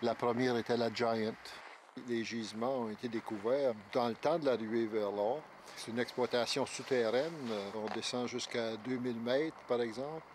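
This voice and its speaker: A man in his sixties with a Québécois accent, speaking in a measured, halting way with many fillers in a documentary interview. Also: mid-range voice, fairly even intonation, noisy background. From Quebec, Outaouais. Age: 60s. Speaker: interviewee, longtime local resident.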